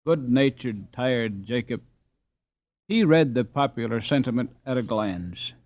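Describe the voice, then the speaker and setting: Male narrator for a children's classic audiobook: medium pitch, lively intonation, expressive scripted reading. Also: a little slow.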